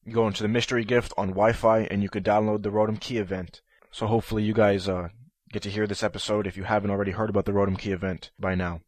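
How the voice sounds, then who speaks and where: A man's voice: lowish voice, little pitch movement, fast. A man hosting a podcast episode on his own, sounding nasal from a stuffy head cold, eager and prone to ums and restarts. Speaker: podcast host. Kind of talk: solo podcast talk.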